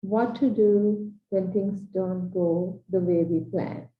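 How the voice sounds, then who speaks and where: A woman from Sri Lanka with a Sri Lankan English accent, giving an earnest, measured coaching talk in short, paused phrases. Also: mid-range voice, steady tone. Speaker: transformational coach. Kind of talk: slow reflective training talk. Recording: dull echoey room recording.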